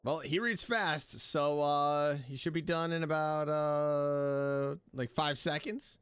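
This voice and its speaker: A woman's voice: low-pitched, steady tone, slow pace, clean tone, dry recording. A woman streaming a game, reacting casually with short exclamatory asides. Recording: game music behind the voice.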